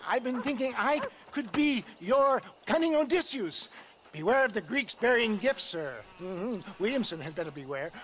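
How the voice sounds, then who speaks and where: An actor playing a flamboyant, scheming male snake-oil salesman in a video game, speaking in a theatrical, grandiloquent sales-pitch manner. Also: lively intonation, normal speed, a bit bright, slight background noise. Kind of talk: video game cutscene acting. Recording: game soundtrack music underneath.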